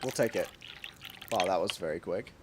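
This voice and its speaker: A man's voice: low-pitched, moderate pace, background noise. A man giving casual, playful live gameplay commentary as a streamer, informal and slangy. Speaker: game streamer.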